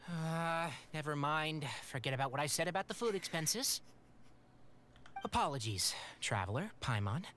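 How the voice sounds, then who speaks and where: An actor playing a calm, patient young male forest scholar in a game, explaining things in a measured, polite way. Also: on the high side, a little hiss or hum. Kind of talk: video game voice acting.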